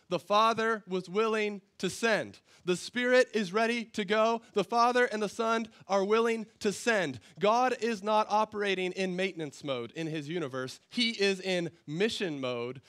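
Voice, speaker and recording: High-voiced pastor with a worn voice. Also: male voice, a bit bright. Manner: conversational, repetitive, emphatic preaching. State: voice worn from a cold. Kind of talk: sermon. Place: church stage, indoors. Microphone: headset mic.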